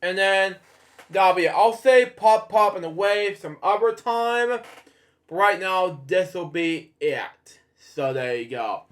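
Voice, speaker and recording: A man hosting a read-aloud of children's stories in a casual, halting, rambling way with off-the-cuff asides. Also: sits high, animated. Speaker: host reading bedtime stories.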